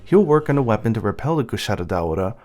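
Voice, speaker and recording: A man's voice: lowish voice, sing-song, quick pace, darkish tone. A man giving light scripted narration over game cutscenes, explaining the story as he goes. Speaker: narrator and channel host. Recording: music behind the voice. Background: game music.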